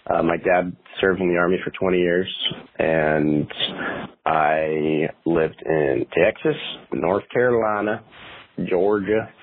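Young man, very low voice, husky, rambling, tinny phone-line sound. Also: slight background noise. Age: 20s. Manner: rambling, hesitant, many fillers and restarts. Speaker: guest. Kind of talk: casual interview conversation.

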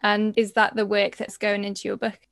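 A woman's voice: medium pitch, monotone, a little fast, creaky, a bit bright, no echo. A woman hosting an interview podcast, asking questions and encouraging her guest with short "mm" and "yeah" replies. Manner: encouraging, frequent "mm" and "yeah" backchannels. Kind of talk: conversational interview.

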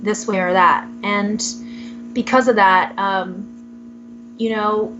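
A young adult woman from Southern California, a podcast guest telling her life story in a reflective, casual way with fillers and soft laughs. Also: mid-range voice, steady tone, slow, noisy background. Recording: slightly muffled lo-fi audio.

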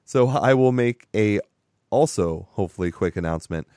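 Hoarse raspy man hosting a podcast. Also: on the low side, expressive, moderate pace, dark tone, dry recording, studio quality. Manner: chatty, rambling, laughs often. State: hoarse, voice strained from sickness. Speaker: podcast host. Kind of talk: casual podcast chat.

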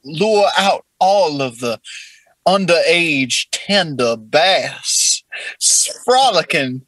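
A man voicing a lewd, old-fashioned Southern gentleman with a florid, courtly drawl in an improvised comedy role-play. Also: a little high, no room sound, heavily acted.

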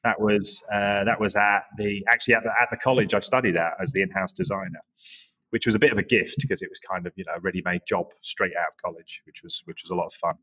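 English guy, 40s, pretty deep voice, rambly relaxed podcast guest. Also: fast, breathy edge, poor audio. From England, Norwich area. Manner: relaxed, rambling, many restarts and self-corrections. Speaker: guest, creative director. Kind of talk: casual interview chat.

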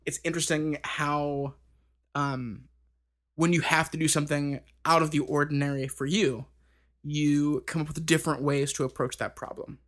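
A man's voice: a little high, steady tone, moderate pace, a bit bright. A thirty-year-old man chatting casually on a band podcast, rambling with many fillers and restarts. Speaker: band member co-hosting a podcast.

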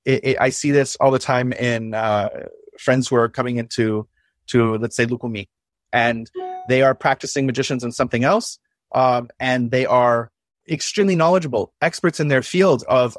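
A man's voice: mid-range voice, normal speed, no room sound, studio quality. A man in a casual discussion, reflective, explaining at length and posing rhetorical questions.

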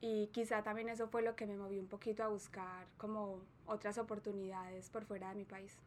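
A woman from talking as a guest scientist in an unhurried, friendly way. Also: mid-range voice, flat delivery, darkish tone, a little hiss or hum. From Colombia. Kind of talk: relaxed interview chat.

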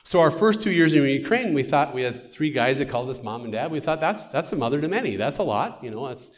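A man's voice: mid-range voice, expressive, fast. Earnest American man telling stories. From United States. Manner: earnest storytelling. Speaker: missionary. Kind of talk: church testimony talk.

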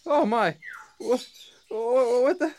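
A woman's voice: a little high, slow, a little mellow, slight background noise. A woman playing a polite, hesitant young male pet shop clerk in a comic audio drama. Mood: shocked. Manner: stammering, hesitant.

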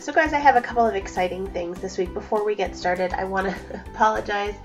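A woman's voice: medium pitch, fairly quick, soft background music. A woman in her thirties hosting her own podcast from home, sniffly from allergies, chatting upbeat with plenty of fillers. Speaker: podcast host. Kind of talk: casual solo podcast chat. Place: home at night, cats nearby.

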